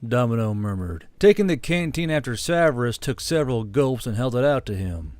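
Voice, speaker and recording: A man's voice: mid-range voice, expressive, moderate pace, darkish tone, no echo. A man reading a scripted fantasy war story as an audiobook narrator, close on the microphone.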